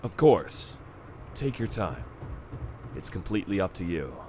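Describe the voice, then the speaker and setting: Male actor as solicitous, polite guardian of a prince, animated intonation, radio-play delivery, slight ambient noise. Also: low-pitched, measured pace. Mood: solicitous, grave. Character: solicitous, formal minder devoted to his young prince. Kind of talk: radio-play acting in a fan dub.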